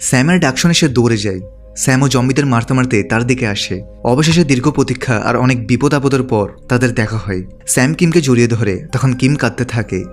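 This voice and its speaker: A man's voice: medium pitch, quick pace. A man delivering scripted narration as the narrator of a movie recap. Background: background music.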